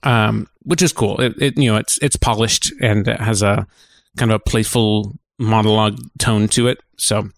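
A man co-hosting a podcast, chatting informally and telling things in a halting, conversational way. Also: on the low side, fairly even intonation, gravelly, dry recording. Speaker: podcast co-host. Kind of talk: casual chat.

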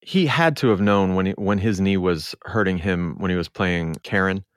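A man's voice: sits low, moderate pace, no echo, studio quality. A man hosting a podcast, talking through sports in a casual discussion style. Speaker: podcast host.

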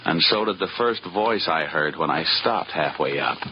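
A man's voice: very deep voice, lively intonation, moderate pace, background noise. A man playing a cocky, hard-boiled insurance investigator in a mid-century radio drama, wry and clipped as he talks and narrates. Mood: wry, narrating. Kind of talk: 1950s radio detective acting with first-person narration. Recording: muffled old radio recording with music behind.

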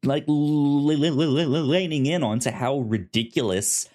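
An American man co-hosting a review podcast, talking casually in a halting, rambling way with stammered starts. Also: fairly high pitch, a little mellow. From United States. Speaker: podcast co-host reviewing audio fiction.

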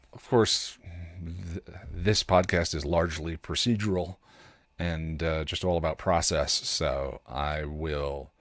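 Male podcast host, low voice, dry close-mic sound, informal and halting, working through thoughts aloud. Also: no echo. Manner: rambling, halting, thinking aloud. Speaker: podcast host and content creator. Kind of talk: casual solo podcast chat.

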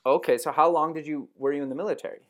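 A man's voice: a little high, steady tone, racing. A man hosting an interview podcast, asking casual questions with lots of fillers. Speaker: podcast host.

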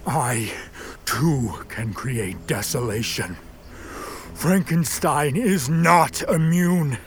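An actor in a radio play voicing an embittered, vengeful created monster, with a husky voice and grandiose, formal delivery. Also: mid-range voice, lively intonation, a little hiss or hum. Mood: grim, vengeful. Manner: grandiose, theatrical, deliberate delivery. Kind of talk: radio play acting, formal archaic speech.

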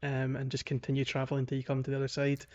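Mid-pitched man chatting on a gaming podcast. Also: monotone, rapid-fire, darkish tone, dull audio. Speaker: podcast host. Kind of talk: casual gaming podcast chat.

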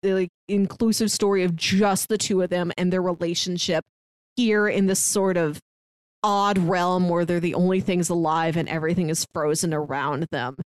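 A woman's voice: on the low side, fairly even intonation, moderate pace, clean tone, no echo. A woman co-hosting a podcast, chatting enthusiastically and recounting plots in a casual way. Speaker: podcast co-host. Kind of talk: casual chat.

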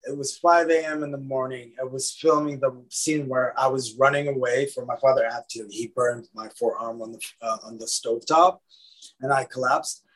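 A man from Lebanon, an actor guest, talking in a casual, animated way, often repeating his words. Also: medium pitch, normal speed, poor audio. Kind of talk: casual interview chat.

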